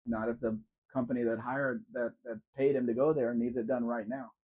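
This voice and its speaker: A man's voice: medium pitch, flat delivery, brisk, phone-quality sound. A man hosting a casual live question-and-answer stream, chatting loosely and answering viewers by name. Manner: chatty, answers chat by name, wanders while talking. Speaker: livestream host.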